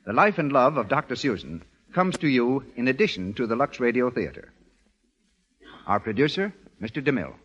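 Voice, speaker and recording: An American man announces in classic 1930s radio style, his husky voice moving through lively, varied pitch. The recording is vintage and muffled. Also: brisk.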